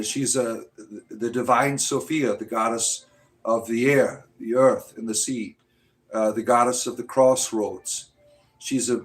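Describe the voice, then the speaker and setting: A man in his fifties hosting a casual livestream, chatting with viewers in a rambling, joking way full of fillers. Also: medium pitch. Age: 50s. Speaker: livestream host. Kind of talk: casual live-chat talk answering viewers.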